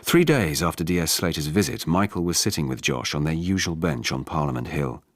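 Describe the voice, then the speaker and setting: A man reading a novel as a scripted radio narration, in a measured, restrained dramatic way. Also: rumbling low, animated, no echo, clean studio sound.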